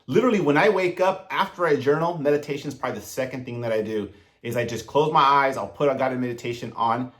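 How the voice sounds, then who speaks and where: A man's voice: mid-range voice, fast talker, a bit bright. Earnest man giving self-improvement advice. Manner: earnest, direct, coaching tone addressing viewers. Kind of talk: motivational advice monologue to camera.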